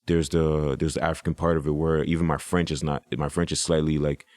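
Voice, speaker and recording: A man's voice: extremely low pitch, flat delivery, a little fast, darkish tone, dry recording, clean studio sound. A man, a hip hop artist, talking casually as a podcast guest, rambling with restarts and "you know".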